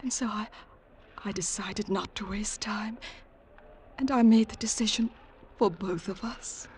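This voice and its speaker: A woman's voice: medium pitch, unhurried, darkish tone, lightly acted. A woman playing a tearful but determined young woman in love, in 1940s radio melodrama style. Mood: tearful, resolute. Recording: old radio broadcast, faint noise.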